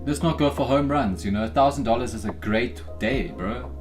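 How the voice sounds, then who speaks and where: Young man, South African accent, live-stream host, hype bursts, low mumbled jokes, faint music behind. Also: moderate pace. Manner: hypes himself and the chat, then drops into low joking asides. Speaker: day-trading livestream host. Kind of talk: casual live-stream chat.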